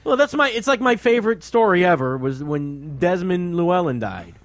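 A man's voice: high-pitched, big pitch swings, normal speed, no room sound. A man co-hosting a podcast in casual, irreverent, joking banter. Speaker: podcast co-host.

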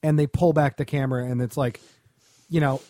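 A man's voice: mid-range voice, fairly even intonation, fairly quick, dark tone, no room sound, clean studio sound. A man co-hosting a podcast, chatting casually in a sardonic, opinionated way. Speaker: podcast co-host. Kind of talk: casual chat about film and television.

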